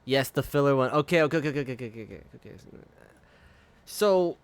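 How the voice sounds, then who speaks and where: A man's voice: medium pitch, expressive, quick pace, clear, resonant tone. A man streaming live, chatting casually and rambling with frequent swearing and laughter. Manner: profane, rambling, laughs often.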